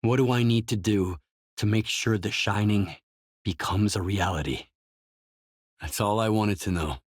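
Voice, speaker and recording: An actor playing a gruff, guarded, weary male video game protagonist, speaking terse, measured scripted lines in a husky voice. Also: lowish voice, dry recording, studio quality. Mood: guarded, businesslike.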